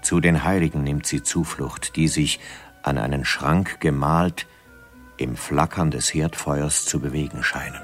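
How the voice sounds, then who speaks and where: A man narrating a radio drama as a storyteller, measured and suspenseful. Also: rumbling low, steady tone, fairly bright tone, soft background music.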